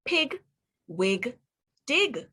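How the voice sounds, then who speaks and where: A woman reading aloud to young children as a teacher, pronouncing words deliberately one by one and putting questions to the listener. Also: on the high side, big pitch swings, pushed and bright, husky. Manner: deliberate word-by-word enunciation, asks the listener questions. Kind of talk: read-aloud phonics lesson for children.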